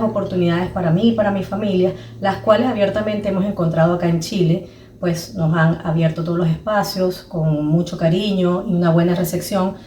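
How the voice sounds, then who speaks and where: A woman's voice: fairly low pitch, mellow, a little hiss or hum. A woman from Venezuela, a school parent giving a composed, earnest testimony. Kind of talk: personal testimony. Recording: echoey room from a distance.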